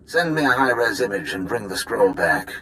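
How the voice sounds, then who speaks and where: A man playing a brisk, skeptical spy contact in a video game, with a gravelly, forceful voice and clipped delivery. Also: lowish voice, very animated, heavily acted. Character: wry male undercover Imperial spy contact, brisk, skeptical. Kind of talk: video game cutscene acting.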